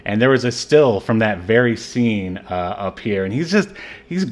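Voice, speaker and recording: Man, low voice, podcast host, soft-spoken casual chat, trailing fillers. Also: animated, moderate pace. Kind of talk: casual chat reviewing a movie.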